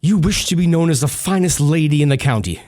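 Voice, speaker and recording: An actor playing a tormented, vengeful spurned lover, bitter and mocking, in intense radio melodrama. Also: male voice, a little high, animated, quick pace, darkish tone, natural voice. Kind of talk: melodramatic old-time radio drama acting.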